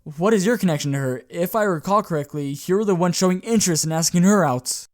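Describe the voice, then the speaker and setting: A man's voice: high-pitched, quick pace, no room sound, studio quality, close to an everyday voice. A man voicing a defensive, curt young vampire son in an amateur roleplay. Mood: irritated.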